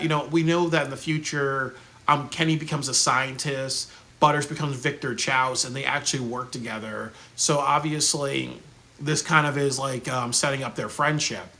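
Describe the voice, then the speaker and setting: A man's voice: medium pitch, bright, forceful tone. A man giving a casual, rambling spoken review, full of fillers like "um" and "you know" and frequent restarts. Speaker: video reviewer.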